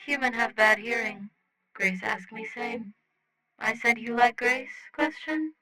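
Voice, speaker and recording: Casting: reader performing little rock alien for audio fiction. Bright, crisp-edged tone, clipped broken grammar, blunt but good-hearted. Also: mid-range voice, unhurried, voice pushed up, low-quality recording. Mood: blunt, kindly. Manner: speaks clipped broken English, announces questions by saying "question". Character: small alien rock creature with legs, cheerful blunt matchmaker. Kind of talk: scripted fiction dialogue, translator voice.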